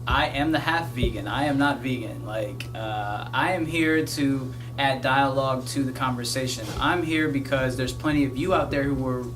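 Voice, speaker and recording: A man's voice: medium pitch, moderate pace, hardly any pauses. A man hosting a podcast in casual chat, teasing and prompting his guests. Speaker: podcast host. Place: kava bar.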